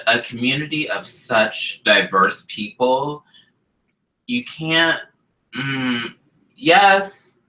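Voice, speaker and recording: Brief: male co-host, very bright and sharp tone, lively pitch, a little nasal and stuffed up, recorded in a roomy space. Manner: animated, chatty, interjecting. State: congested, slightly nasal from illness. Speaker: co-host, drag performer. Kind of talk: casual chat. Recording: roomy echo.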